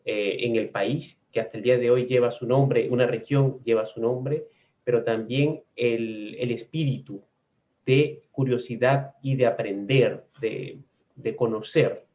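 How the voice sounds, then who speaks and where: A man from Venezuela speaking as a researcher on a video-call panel, measured and academic, pausing often on "eh". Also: mid-range voice, a little mellow. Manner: measured, with frequent "eh" pauses. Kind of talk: academic discussion.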